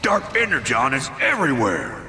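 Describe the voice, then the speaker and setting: A man's voice: mid-range voice, wildly swooping pitch, moderate pace, exaggerated voice. A man voicing a grumbling veteran robot warrior in a video game, blunt and sardonic. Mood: grim, disgusted. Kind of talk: video game cutscene acting. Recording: game audio under loud music.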